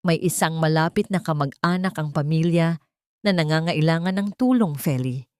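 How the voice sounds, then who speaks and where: A woman's voice: deep voice, moderate pace, a little mellow, smooth, dry recording, studio quality, natural voice. Woman acting a cruel old matriarch, sweetly menacing. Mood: coaxing, menacing. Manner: sweet tone with a threat beneath. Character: cruel, manipulative old wealthy matriarch mother-in-law.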